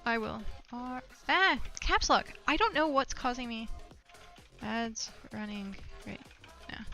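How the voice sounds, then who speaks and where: A woman's voice: on the high side, animated, takes it slowly, creaky, sharp tone, soft background music. A woman livestreamer chatting casually to her viewers, rambling and thinking aloud as she goes. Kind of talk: casual chat to stream viewers. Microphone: streaming microphone.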